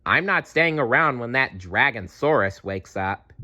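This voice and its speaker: A man's voice: mid-range voice, lively intonation, unhurried, bright, forceful tone. A man voicing a large male flying reptile in a storybook, helpful but wary, with a plain-spoken, firm manner. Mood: firm warning.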